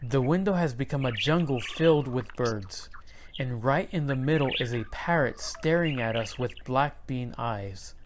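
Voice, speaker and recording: A man reading a children's picture book aloud, in clear and expressive storybook narration. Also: medium pitch, a little slow, background noise.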